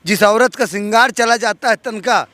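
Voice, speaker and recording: Bright, high-voiced bitter middle-aged man. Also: normal speed, no room sound. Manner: emphatic, bitter. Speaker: party worker being interviewed. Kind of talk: aggrieved street interview.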